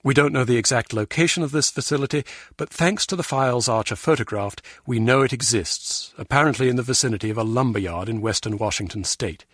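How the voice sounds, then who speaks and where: A male actor plays a critical, dour intelligence official in a video game. He delivers briefings in clipped, formal phrases. The voice is medium in pitch and rough-edged, with a dry studio sound. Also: brisk, a bit bright, no echo, lightly acted. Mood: businesslike.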